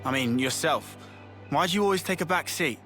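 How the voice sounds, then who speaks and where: A man's voice: on the high side, lively intonation, fairly quick, bright, forceful tone. A man with a British accent acting a brash, restless young fighter in a game cutscene, blunt, slangy and impatient. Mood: challenging. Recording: music behind the voice.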